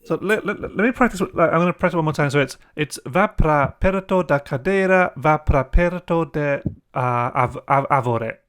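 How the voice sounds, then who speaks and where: A man's voice: fairly high pitch, moderate pace, hardly any pauses, a bit bright, clean tone. A man hosting a casual discussion on a video call, friendly and chatty while playing the learner. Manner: friendly, chatty, light laughs. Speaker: host, language educator.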